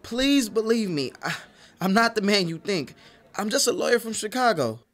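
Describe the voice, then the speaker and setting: An actor playing a nervous, modest city lawyer made sheriff, stammering politely in a comic western audio drama. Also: male voice, sits high, sing-song, normal speed, fairly bright tone, exaggerated voice. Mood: earnest, flustered.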